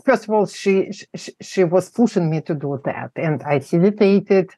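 A middle-aged woman with a Russian accent, a family therapist answering interview questions in halting speech full of pauses and fillers. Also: lowish voice, expressive, warm tone, no echo.

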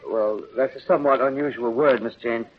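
Actor as an authoritative, measured judge. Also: male voice, mid-range voice, natural voice. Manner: formal, deliberate, authoritative. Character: measured, patient, authoritative male courtroom judge. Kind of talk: 1930s-40s radio drama acting. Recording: muffled, noisy old radio recording.

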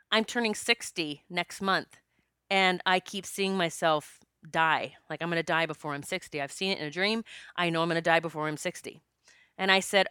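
A woman's voice: on the low side, sharp tone, smooth, dry recording, clean studio sound. A woman in her fifties, a past life regression practitioner, telling stories in a chatty, joking way. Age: 50s. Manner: chatty, joking, storytelling with quoted dialogue. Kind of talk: casual spiritual monologue.

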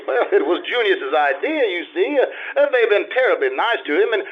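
An actor playing a suave, roguish society ex-husband, glib and wry with a mock-innocent air, in an old-time radio style. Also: male voice, sits high, very animated, normal speed, a little hiss or hum. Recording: dull band-limited live radio stage recording.

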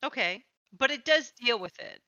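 A woman's voice: fairly high pitch, animated, moderate pace, pushed and bright, no echo, lo-fi sound. A woman speaking as a podcast host in a conversational interview.

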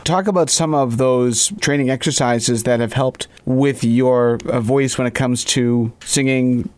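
A man's voice: medium pitch, fairly even intonation, unhurried, smooth, no room sound. A male radio host speaking in a studio. He keeps it upbeat and short, asks easy questions, and drops in station announcements. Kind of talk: radio interview hosting.

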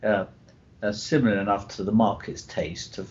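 Low-voiced man, muffled rumbly recording. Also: fairly even intonation, darkish tone. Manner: halting, repeats words and restarts. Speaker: publisher, podcast guest. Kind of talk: informal panel discussion. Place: live convention recording over internet call. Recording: muffled lo-fi internet call with rumbling noise.

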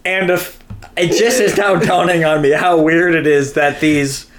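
A man's voice: fairly high pitch, steady tone, measured pace, a little hiss or hum. A man co-hosting a podcast with casual, sarcastic and profane comedic banter. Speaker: podcast co-host.

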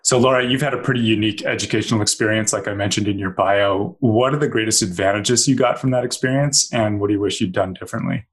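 Guy hosting an online salon, lowish slightly husky voice, calm and formal. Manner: measured, formal introductions. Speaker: host, editor-in-chief. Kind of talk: hosting a video-call interview. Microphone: video call.

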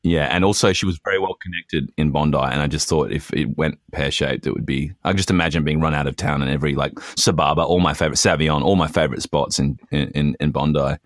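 A man's voice: very deep voice, quick pace, few pauses, dry recording, clean studio sound. A young man co-hosting a casual comedy podcast, talking in a wry, hesitant, self-justifying way. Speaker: podcast co-host. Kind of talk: casual comic banter.

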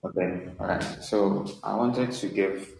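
A man's voice: deep voice, a little slow, gravelly, noisy background. A man calling in to a live talk show, explaining his points one by one and often checking that listeners follow. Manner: methodical, numbers his points and checks understanding. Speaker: caller giving input. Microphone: remote call-in line.

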